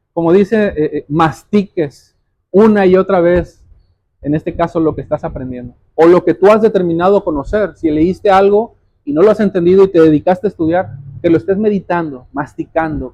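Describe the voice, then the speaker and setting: A man's voice: fairly high pitch, dark tone, no room sound, dull audio. A man preaching a sermon, explaining scripture didactically, repeating key phrases and putting direct questions to his listeners. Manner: addresses listeners directly as brothers.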